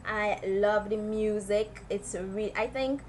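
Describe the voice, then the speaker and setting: A woman's voice: mid-range voice, slow, a little hiss or hum. Chatty Trinidadian woman vlogger. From South Trinidad. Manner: chatty, animated, local slang. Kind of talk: casual chat to camera.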